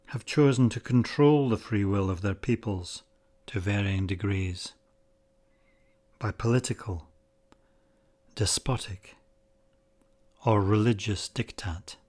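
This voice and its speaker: A man with a fairly low voice leads a guided meditation. He gives spoken instructions in short, deliberate phrases, pausing between them in a measured way. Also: darkish tone, slightly rough.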